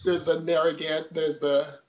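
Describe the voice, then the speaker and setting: A man's voice: fairly high pitch, level tone, normal speed, a little mellow, low-quality recording. A young man from Jamaica, a guest in a casual interview, telling his story chattily with many fillers.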